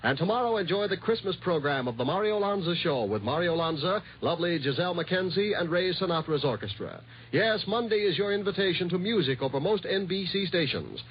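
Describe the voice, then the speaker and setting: Male network announcer, fairly high and very expressive, declamatory, muffled vintage radio sound. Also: a little fast, hardly any pauses, slightly rough. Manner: polished, declamatory. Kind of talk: 1950s radio promotional announcement. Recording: muffled old radio recording, faint noise.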